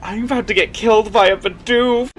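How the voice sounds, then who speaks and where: A woman's voice: on the high side, brisk, faint music behind, natural voice. Woman playing a sweary young female creature trainer. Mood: exasperated. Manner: exclaiming, swearing.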